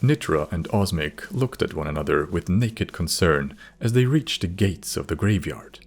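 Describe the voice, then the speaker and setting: A man's voice: sits low, a little mellow. A man narrating a scripted fantasy story as an audiobook, reading descriptive third-person prose.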